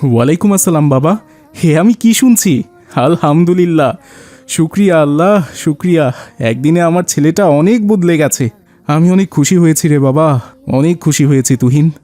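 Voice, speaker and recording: A man's voice: on the high side, normal speed, warm tone, lightly acted. A man playing a devout, grateful older father in a cartoon, speaking in a heartfelt way. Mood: overjoyed. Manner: heartfelt, pious. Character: devout, grateful older father seeking his son's reform. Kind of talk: cartoon dialogue.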